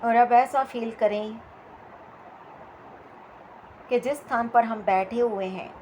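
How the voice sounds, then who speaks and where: A woman leading a guided meditation as instructor, with soothing, repetitive phrasing and pauses between short instructions. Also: on the high side, darkish tone, a little hiss or hum, poor audio.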